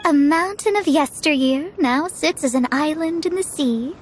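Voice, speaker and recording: An actress playing a young, learned and proud astrologer in a video game, speaking in an articulate, formal way. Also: female voice, high voice, normal speed, slight background noise, close to an everyday voice. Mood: thoughtful, impressed.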